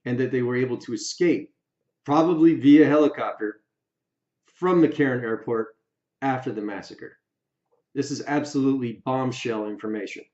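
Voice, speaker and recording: A man giving opinion commentary for an online video, speaking emphatically and deliberately in short, separated phrases. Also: mid-range voice, mellow. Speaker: YouTube video creator. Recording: roomy echo, slightly dull sound.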